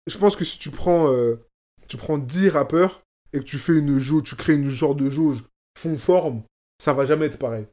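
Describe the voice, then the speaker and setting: French-born guy chatting casually, voice sits a bit high, keeps repeating stuff for emphasis. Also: moderate pace, mellow. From France. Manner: emphatic, repeats phrases for stress. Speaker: rapper, guest. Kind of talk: casual conversation. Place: lives in Oslo.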